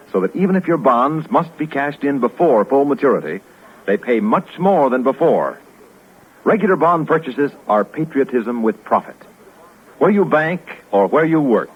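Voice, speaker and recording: A man's voice: mid-range voice, slightly rough. A man reading a scripted public-service announcement in a formal, emphatic 1950s radio announcer style. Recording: muffled old radio recording.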